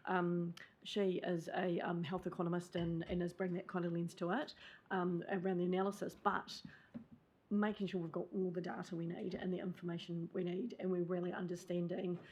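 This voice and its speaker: Even-toned, hesitant New woman. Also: lowish voice, a little fast, slightly rough. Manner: hesitant, many fillers. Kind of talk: council meeting discussion.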